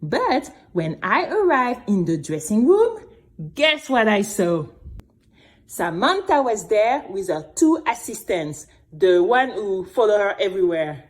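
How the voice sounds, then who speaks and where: A woman playing an earnest, resilient young singing contestant, narrating her own story in an expressive, deliberate, dramatic way. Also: mid-range voice. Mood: earnest, confiding. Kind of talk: scripted dramatic first-person storytelling.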